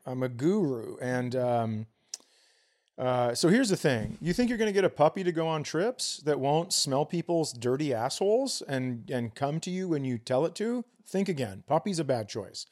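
A man's voice: mid-range voice, very animated, moderate pace, a little mellow. A man co-hosting a comedy podcast, giving blunt, profane, mock-authoritative takes in casual banter.

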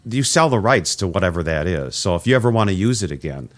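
A man's voice: deep voice, expressive, fast talker. A middle-aged man hosting a radio interview, chatting casually and rambling through nostalgic stories. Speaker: radio host.

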